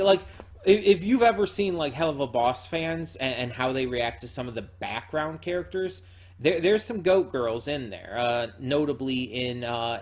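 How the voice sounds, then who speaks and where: A man giving unscripted, casual reaction commentary in a rambling, halting way, often repeating words and hedging as he goes. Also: medium pitch. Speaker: reaction channel host.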